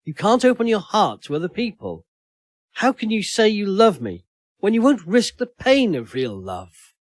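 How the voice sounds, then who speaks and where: A man's voice: high-pitched, huge pitch swings, moderate pace, no room sound, studio quality, voice pushed up, full character voice. A man voicing a fearless, mercurial young woman in an audio story, challenging and by turns teasing or reproachful.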